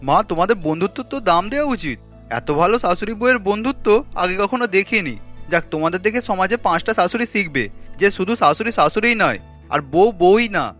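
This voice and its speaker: A very high young male cartoon voice acted by a man over background music. He is a mild, agreeable grown son in a family drama. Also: lively intonation, fairly quick, a bit bright. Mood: admiring.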